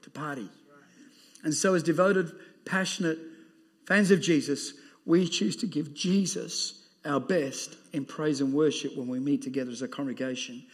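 A man with an Australian accent and a loud, booming voice, preaching an emphatic sermon to a church congregation. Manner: emphatic, laughing, exclaiming amen. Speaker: pastor.

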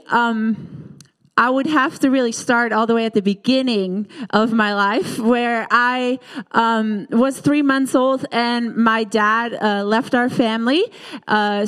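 A woman in her thirties from the Netherlands with a Dutch accent, giving a personal testimony in church in an earnest, halting way with many fillers. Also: on the high side, clear, resonant tone. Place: church hall. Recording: reverberant church hall.

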